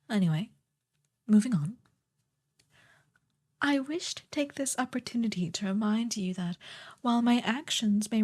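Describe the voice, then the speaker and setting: A woman playing a haughty, sardonic evil sorceress queen in a soft, breathy, imperious voice for a fantasy ASMR roleplay. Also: mid-range voice. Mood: haughty exasperation. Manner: imperious, theatrically disdainful, drawn-out delivery.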